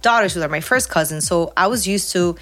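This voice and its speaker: Fast-talking, low-voiced woman from Peru. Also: a bit bright. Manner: reflective, talks in long flowing runs with many fillers. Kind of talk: casual chat.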